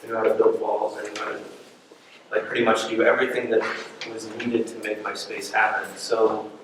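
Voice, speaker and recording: A man answers as a panelist in a plain, hesitant way, full of ums and uhs. His voice is low and flat. He sounds distant in a very echoey room, with clear noise underneath. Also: a little fast. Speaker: artist and frame shop owner, panelist. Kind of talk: casual panel talk. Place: large event hall.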